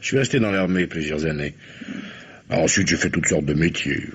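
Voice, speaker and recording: An actor playing a guarded, courteous café waiter in his thirties, husky and dryly ironic, in an old French radio drama. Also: extremely low pitch, normal speed, heavily acted. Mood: guarded, casual. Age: 30s. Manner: laconic, polite, dryly ironic. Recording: muffled old radio recording.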